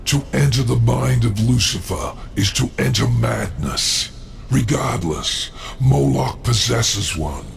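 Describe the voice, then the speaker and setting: Deeply gravelly, sly demon lord. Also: male voice, expressive, a little hiss or hum, full character voice. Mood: calculating. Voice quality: gravelly, growling. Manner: slow, formal, condescending. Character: sly, menacing old demon lord, proud schemer. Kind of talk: video game cutscene acting.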